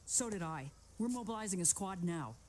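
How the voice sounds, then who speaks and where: A woman playing a brisk, no-nonsense police officer in a video game, speaking in a businesslike way. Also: fairly low pitch, lively intonation, darkish tone, slight background noise, close to an everyday voice. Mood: urgent. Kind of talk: video game cutscene acting.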